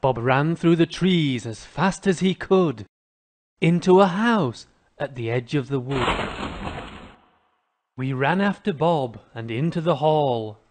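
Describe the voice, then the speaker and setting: An American man narrates a children's cartoon story in a fairly high male voice. He goes slowly, with very lively intonation.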